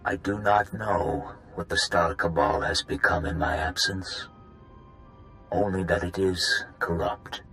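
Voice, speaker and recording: An ancient, solemn founder of a shadowy order in a game cutscene. The voice is very low and unhurried, grave and deliberate, with music underneath. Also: male voice, steady tone, fairly bright tone, rough recording. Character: ancient solemn founder of a secret cabal, hibernating in a machine. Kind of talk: video game cutscene dialogue.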